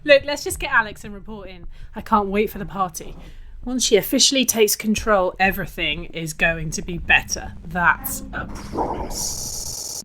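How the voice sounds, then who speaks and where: A woman's voice: medium pitch, normal speed, background noise, close to an everyday voice. A woman playing an irritable, sarcastic woman in an audio drama, snappish and scornful. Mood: eager, resolute.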